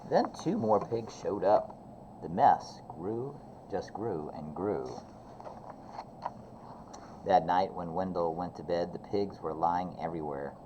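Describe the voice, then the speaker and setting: A man's voice: deep voice, takes it slowly, long pauses. A man reading a children's storybook aloud expressively like a teacher, on a slightly dull, noisy recording. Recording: slightly dull, faint background noise.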